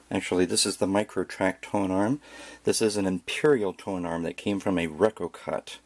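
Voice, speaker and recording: A man with a fairly low voice narrates a casual home tour. He presents as a collector showing things off, dropping wry, self-deprecating remarks as he goes. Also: no echo. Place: indoors at home.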